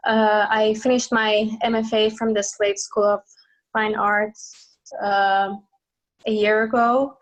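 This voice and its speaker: A woman's voice: mid-range voice, little pitch movement, slow, poor audio. A woman from Iran with an Iranian accent, explaining her work as an interview guest in hesitant, thoughtful phrasing.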